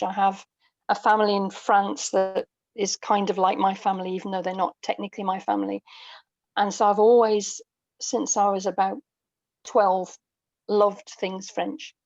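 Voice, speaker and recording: A woman in her sixties speaking as an interview guest, thoughtful and hesitant, pausing mid-sentence as she reflects. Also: medium pitch, a little mellow, no room sound, dull audio. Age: 60s. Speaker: guest, audio drama writer.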